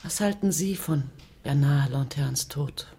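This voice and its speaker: A woman's voice: low voice, measured pace, warm tone, breathy edge, faint music behind, exaggerated voice. An actress playing an inquisitive, self-assured woman journalist from Paris in a radio crime drama. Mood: cool, precise.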